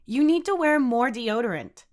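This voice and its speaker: Brief: female narrator as bratty, sarcastic teen girl, high and snappy, quick to take offence. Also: big pitch swings. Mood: disgusted grumbling. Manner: snappy, mocking. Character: feisty, sarcastic, easily irritated teenage girl.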